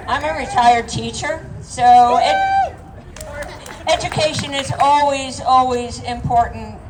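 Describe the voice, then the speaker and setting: An older woman, a retired teacher, giving an indignant public comment at a town hall, heard from a distant mic. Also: a little high. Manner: indignant, deliberate. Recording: distant mic, faint noise.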